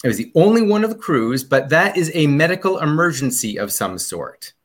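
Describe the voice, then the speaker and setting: A man's voice: a little high, sing-song, brisk. A man as a podcast guest in casual chat, rambling about a trip with many ums and restarts.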